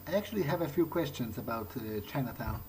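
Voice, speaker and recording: A man's voice: mid-range voice, expressive, fast, slight background noise, poor audio. A man speaking casual in-character dialogue as he plays a game, with hesitant, conversational phrasing. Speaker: let's player improvising in character. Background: game soundtrack music.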